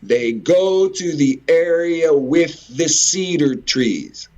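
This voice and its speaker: A man giving informal, rambling commentary in a halting way, repeating words and swearing mildly now and then. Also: on the high side, animated, darkish tone, dull audio. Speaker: video presenter. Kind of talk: informal rambling commentary over on-screen images.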